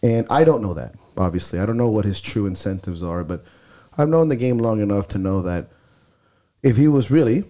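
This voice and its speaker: A man's voice: lowish voice, animated, a little fast, dark tone. A man co-hosting a podcast, talking bluntly and swearing freely, with a stuffy nose, recorded on a close mic. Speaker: podcast co-host. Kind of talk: casual podcast chat.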